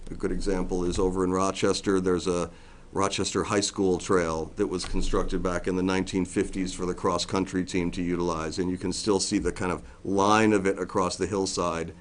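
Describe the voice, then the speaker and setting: A middle-aged man, a forest district ranger, giving a loud, plainspoken briefing to a town board with frequent ums and restarts. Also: low voice, level tone, moderate pace, slight background noise. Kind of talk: informal briefing at a public meeting. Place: town meeting room.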